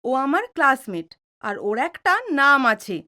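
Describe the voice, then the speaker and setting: A woman's voice: sits high, big pitch swings, slow pace, sharp tone, exaggerated voice. A woman playing an outspoken, teasing young daughter who argues impatiently, in an audio drama. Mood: argumentative. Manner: argumentative, impatient, cheeky. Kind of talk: Bengali audio drama acting.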